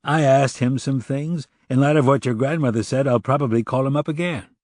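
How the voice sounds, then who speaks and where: A man's voice: mid-range voice, a little fast, darkish tone, no room sound, clean studio sound, voice pushed up, natural voice. A man voicing a wry, insistent male police detective questioning someone in an audiobook. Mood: pressing. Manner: wry, pressing. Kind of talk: audiobook character dialogue.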